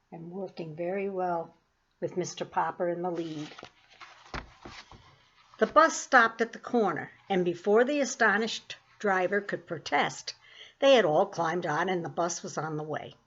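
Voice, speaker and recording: A woman reading a children's chapter book aloud in a clear, steady, expressive way. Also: lowish voice, measured pace, lo-fi sound. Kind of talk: storybook read-aloud.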